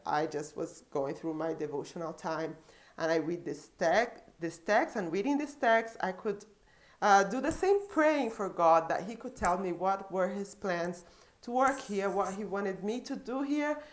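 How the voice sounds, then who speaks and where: A woman in her thirties from Brazil, speaking English with a Brazilian Portuguese accent, sharing her story as a missionary and social worker in an earnest, halting way with many restarts. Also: fairly low pitch, animated. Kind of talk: personal testimony.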